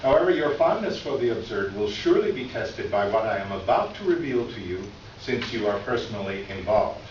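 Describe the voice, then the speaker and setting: A man's voice: fairly low pitch, very animated, brisk. A man playing a formal, aggrieved older store clerk near retirement, reading a wordy, earnest letter of confession aloud for readers theater. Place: live reading in a reverberant room. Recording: echoey room from far off, dull, noisy.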